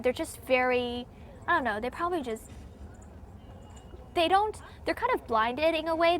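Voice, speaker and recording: A woman's voice: fairly high pitch, slight background noise. Young woman, interviewee, chatty, hedging, from Taiwan. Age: young adult. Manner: chatty, many "like" fillers, hedging. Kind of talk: casual conversational interview.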